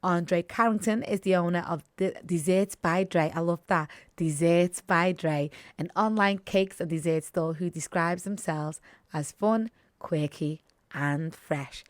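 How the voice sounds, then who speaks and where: A woman's voice: lowish voice, unhurried, no echo. A woman radio presenter introducing a feature in a playful, conversational way. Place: radio studio.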